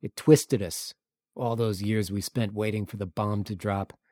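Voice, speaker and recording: A man's voice: on the low side, fairly even intonation, measured pace, warm tone, no room sound, clean studio sound. A man voicing a cynical, guarded Crimean local in an audiobook, speaking bluntly and emphatically. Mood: resigned. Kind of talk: audiobook character dialogue.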